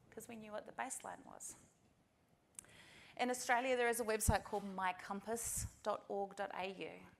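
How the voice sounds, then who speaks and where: A woman's voice: mid-range voice, fairly bright tone. A middle-aged woman from New Zealand with a New Zealand accent, giving a practical, earnest conference talk straight to the audience. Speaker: conference speaker. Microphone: presentation mic.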